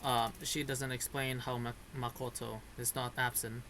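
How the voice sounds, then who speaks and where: A man giving casual game commentary in a halting way, searching for words. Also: mid-range voice, level tone, sharp tone, a little hiss or hum. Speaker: gamer reacting to game story.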